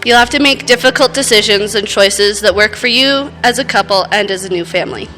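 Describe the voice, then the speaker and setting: A woman giving a prepared, heartfelt wedding toast as a sister, in an earnest, measured way, at a reception. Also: mid-range voice, pushed and bright, faint music behind, poor audio. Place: wedding reception, speaking to guests.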